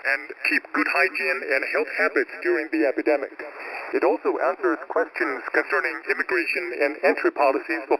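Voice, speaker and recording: A man reading a scripted news bulletin in a formal, measured way, heard over a crackly shortwave radio broadcast. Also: on the high side, gravelly. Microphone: broadcast heard over shortwave radio receiver.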